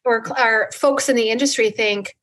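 A woman's voice: a little high, steady tone, normal speed, fairly bright tone. A woman interviewing in a conversational style, affirming and restating points as she leads into questions. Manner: affirming, restates the guest's points before asking.

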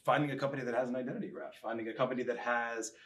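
A man's voice: medium pitch, fairly even intonation, racing, a bit bright. A man co-hosting a casual interview podcast, chatting informally with jokey asides. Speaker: podcast co-host.

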